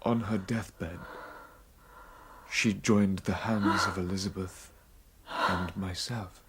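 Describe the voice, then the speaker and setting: A man's voice: sits low, steady tone, slow pace, heavy background noise. A man playing an earnest, studious young student telling his own story in a dramatised audiobook. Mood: solemn, reflective. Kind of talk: dramatised first-person narration.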